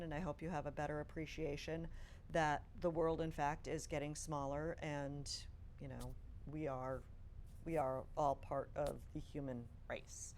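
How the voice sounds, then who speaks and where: A woman hosting an interview podcast, chatty and quick with short interjections. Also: low-pitched, a little mellow, slight background noise. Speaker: podcast host.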